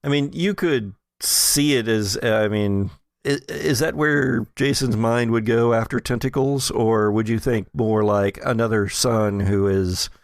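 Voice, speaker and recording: A man narrating a tabletop game as game master, casual and jovial, with a creaky voice. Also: mid-range voice, measured pace, no room sound, studio quality.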